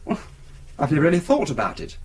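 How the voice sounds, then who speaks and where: A man's voice: a little high, huge pitch swings, fast, breathy edge, heavily acted. An actor playing an anxious, defensive boyfriend in a radio drama, hesitant as he explains himself. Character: anxious, defensive young boyfriend of a missing woman. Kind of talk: naturalistic radio drama acting.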